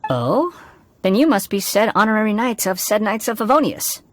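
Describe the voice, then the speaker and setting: A man voicing a polite, enigmatic young vagrant in a video game, smooth and faintly amused. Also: high voice, animated, normal speed, a bit bright, slight background noise. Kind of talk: video game character acting. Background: background music.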